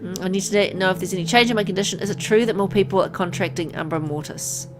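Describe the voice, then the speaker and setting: An anxious, bewildered male hospital patient , played by a woman speaks with uneasy, halting questions. It is a visual novel read-aloud at medium pitch, with music behind the voice. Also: natural voice. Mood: confused, questioning.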